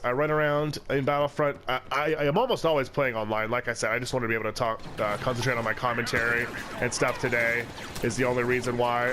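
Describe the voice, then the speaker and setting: A man's voice: medium pitch, background noise. A man hosting a let's play channel, talking through gameplay in a loose, rambling way with lots of fillers and restarts, his voice congested from a cold. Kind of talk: casual gameplay commentary.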